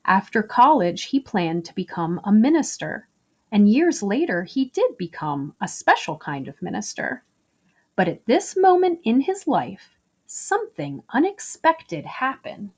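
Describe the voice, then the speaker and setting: A woman reads a picture book aloud for children at an unhurried, slow pace. Her pitch moves up and down freely, and she phrases each sentence deliberately, leaning on key words in an expressive storytelling style. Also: slightly rough.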